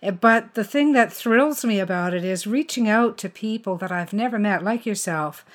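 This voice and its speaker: Female interview host, average pitch, conversational delivery, effusive and encouraging. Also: normal speed. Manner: effusive, affirming. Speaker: podcast host interviewing a writer.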